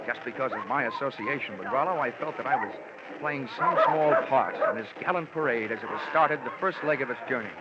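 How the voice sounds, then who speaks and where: A man's voice: mid-range voice, heavy background noise, lightly acted. An actor playing a wisecracking male insurance investigator, narrating and quipping in 1940s radio drama style. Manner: dry sardonic quips, brisk narration. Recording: dull lo-fi old radio broadcast.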